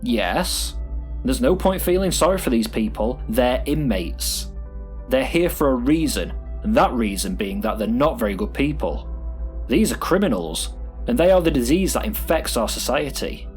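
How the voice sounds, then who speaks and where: A man's voice: medium pitch, big pitch swings, moderate pace, darkish tone, soft background music, close to an everyday voice. A man playing a callous, officious prison supervisor in an audio drama, instructing in a matter-of-fact, clinical way. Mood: stern lecturing. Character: callous, officious male prison pod supervisor training a recruit.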